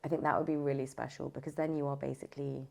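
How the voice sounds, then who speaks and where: A woman's voice: a bass voice, flat delivery, fast talker, vocal fry, warm tone. A woman speaking casually as an interview guest, emphatic and often rephrasing as she goes. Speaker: voice actor, audiobook narrator, podcast guest.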